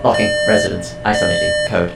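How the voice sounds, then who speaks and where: A man playing an earnest, newly self-aware house A.I. in an audio drama, with formal, measured, stilted phrasing. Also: natural voice. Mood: flatly procedural. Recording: echoing voice over music.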